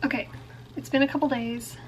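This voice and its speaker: A young woman with a fairly high voice chats her way through a crochet project, thinking out loud and filling pauses with "like" and "um". A bad cold leaves her sounding a little stuffy. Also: fairly even intonation, slight background noise, poor audio. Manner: chatty, thinking aloud, frequent "like" and "um". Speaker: crochet vlogger. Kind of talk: casual explaining while crafting.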